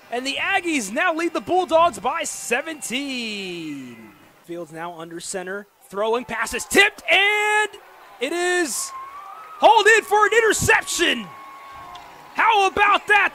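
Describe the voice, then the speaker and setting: An American man calling live football play-by-play on the radio with excitable, dramatic delivery. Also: very high voice. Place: football stadium during a live game. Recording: noisy live stadium broadcast.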